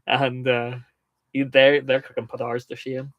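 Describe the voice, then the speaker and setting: A man's voice: medium pitch, level tone, unhurried, a bit bright, dry recording, lo-fi sound. Chatty man with a slight lisp. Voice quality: slight lisp, speaks through teeth. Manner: chatty, laughs often. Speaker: co-host. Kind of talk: casual livestream chat.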